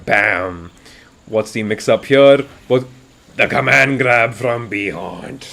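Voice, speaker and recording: A man from India doing live, excitable fighting game commentary, his voice getting raspy, swinging between hype and quiet asides. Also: medium pitch, slow. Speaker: esports commentator.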